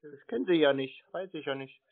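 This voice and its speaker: A man's voice: fairly high pitch, lively intonation, fairly quick. Curt man on a tinny phone line. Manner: curt, stonewalling, contrary. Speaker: scam-baiter taking phone calls. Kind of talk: phone conversation. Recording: tinny phone-quality audio.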